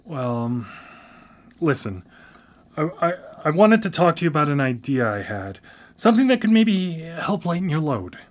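A man's voice: on the high side, very animated, normal speed. An actor playing a dependent adult brother in a naturalistic radio drama, hesitant and defensive, stammering into his sentences as he pushes for independence. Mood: nervous, tentative.

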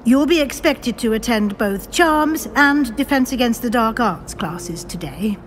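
A woman playing a brisk, formal older witch professor with a British accent, measured and articulate, kindly but firm. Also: a little high, lively intonation, a little hiss or hum. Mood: brisk, encouraging. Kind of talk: scripted game dialogue. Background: background music.

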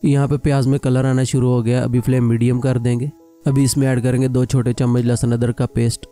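A man narrating a cooking video voice-over, explaining each step calmly and plainly. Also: mid-range voice, brisk, faint music behind. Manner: calm step-by-step instruction. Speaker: cooking channel host.

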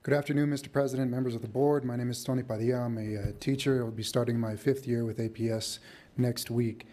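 A middle-aged male teacher with a mellow, warm voice speaks in a measured way, with a few restarts and fillers. He addresses a board at a podium microphone in a large council chamber. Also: medium pitch, fairly even intonation. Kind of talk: public comment.

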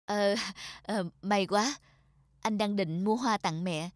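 A woman voicing a kindly, polite young man in an animated moral story, speaking gently. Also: medium pitch, slow, dry recording, close to an everyday voice. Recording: loud music over the dialogue.

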